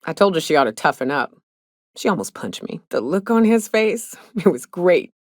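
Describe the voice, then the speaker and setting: Tough, guarded female hunter for a space game; low register, blunt folksy drawl, close dry recording. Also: sing-song, normal speed, darkish tone, no echo. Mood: wry, amused. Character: tough, gruff, guarded woman monster hunter in a spaceship crew. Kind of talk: video game character dialogue.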